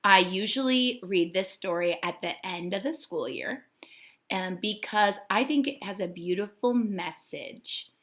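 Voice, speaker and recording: Slow, bright-voiced woman teacher reading aloud. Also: medium pitch, expressive. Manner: deliberate, instructive delivery for young children. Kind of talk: children's read-aloud lesson. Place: home kitchen. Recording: echoey domestic room.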